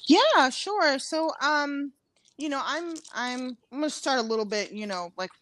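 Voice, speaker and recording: A woman's voice: high-pitched, animated, measured pace, a bit bright, a little hiss or hum. A woman in her thirties, from Ecuador, talking casually as an interview guest, with lots of fillers and restarts. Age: 30s. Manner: chatty, many fillers and restarts. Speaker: guest, comedian.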